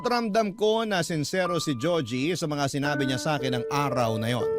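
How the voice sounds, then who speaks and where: A man narrating a scripted first-person story as a radio drama host. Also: fairly high pitch.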